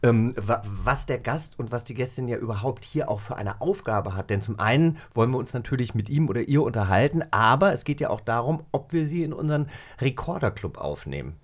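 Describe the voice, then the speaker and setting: Woman host chatting casually, excitable, pitch jumps around a lot. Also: a little fast, hardly any pauses. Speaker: podcast host. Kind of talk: casual chat.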